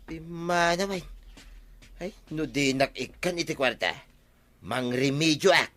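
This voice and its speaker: A man's voice: fairly high pitch, a little slow, bright, forceful tone. A man playing an easygoing husband who likes drinking with friends, teasing and bantering in a comic radio drama.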